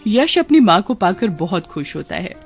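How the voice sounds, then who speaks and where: A woman's voice: on the low side, sing-song, normal speed, breathy edge, faint music behind. A woman giving scripted narration for a children's cartoon story.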